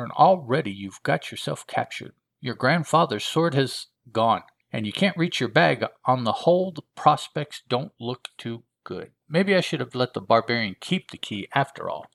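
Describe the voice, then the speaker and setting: A man's voice: medium pitch, lively intonation, normal speed, gravelly, few pauses, pitched above the performer's own voice. A man voicing a bold, sarcastic young adventuress from a comic book, with cheeky, unbothered retorts. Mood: rueful.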